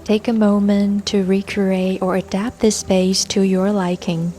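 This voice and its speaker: Woman, guided meditation, slow gentle delivery, soothing, soft music and flowing brook behind. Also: medium pitch, little pitch movement. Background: soft music and babbling brook sounds.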